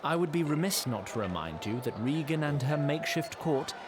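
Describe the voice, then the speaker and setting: A man's voice: fairly high pitch, very animated, brisk, noisy background. A man narrating a fantasy audio drama in a wry, formal storytelling manner.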